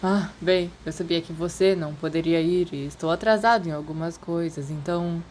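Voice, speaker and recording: A woman's voice: fairly low pitch, normal speed, a little mellow, slight background noise, natural voice. A woman with a Brazilian accent voicing a polite, bookish, guarded older schoolboy in a story, casual and slightly hesitant. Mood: friendly, helpful.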